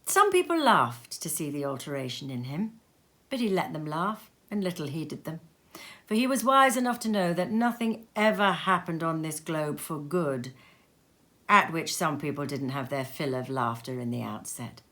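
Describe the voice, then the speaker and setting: A woman narrating a classic tale as an expressive storybook reading at home, lively and theatrical, with many changes of voice. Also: low-pitched, moderate pace. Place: cozy sitting room at home.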